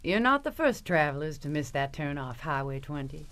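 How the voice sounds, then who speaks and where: A woman's voice: deep voice, very animated, moderate pace, a bit bright. A woman playing a genteel Southern hostess in an audio drama, folksy and evasive, sweet with menace underneath. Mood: sweetly gracious. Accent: American South.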